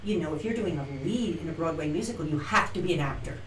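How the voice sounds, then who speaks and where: A middle-aged actress chats as an interview guest, animated and acting out the lines she quotes. Her voice sits a little low and she sounds far from the mic. The room is echoey, with faint noise behind her. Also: fast talker. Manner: animated, acts out quoted lines, frequent restarts. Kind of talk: casual interview storytelling.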